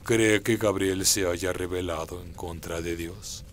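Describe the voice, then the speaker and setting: A man's voice: sits low, steady tone, unhurried. A man playing a scheming demon henchman in a radio play, with faint noise behind the voice.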